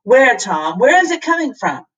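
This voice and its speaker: A woman's voice: fairly high pitch, big pitch swings, normal speed, a bit bright, distant mic, voice pushed up. An earnest, protective professor from a children's storybook, read by a woman. He leads the young ones, and the voice sits a little back from the mic. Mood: intent.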